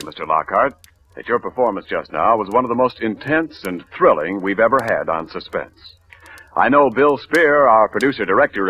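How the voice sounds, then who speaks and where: Lively salesman-voiced radio announcer. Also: fairly low pitch. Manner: smooth, salesmanlike. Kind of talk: 1940s radio sponsor announcement. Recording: dull old radio broadcast with music.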